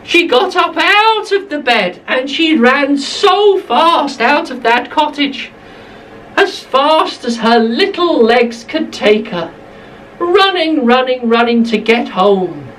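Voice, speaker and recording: A woman storyteller reading to small children with a fairly high, very lively voice. She takes her time and repeats phrases for emphasis. The mic is a little distant, with faint noise behind her. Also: takes it slowly. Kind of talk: animated bedtime storytelling for young children.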